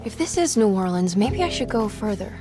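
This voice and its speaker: A woman's voice: medium pitch, big pitch swings, fairly quick, lightly acted. An actress playing an anxious but determined young woman in a video game cutscene, earnest and questioning. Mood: puzzled, earnest. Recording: background music behind the voice.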